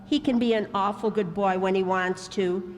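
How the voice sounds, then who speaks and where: An actress playing a cheerful, patient older sister who keeps smoothing over her husband's rudeness. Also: mid-range voice, steady tone, normal speed, lightly acted. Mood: upbeat. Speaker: amateur radio actor. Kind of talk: old-time radio comedy acting. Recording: live stage hall reverb.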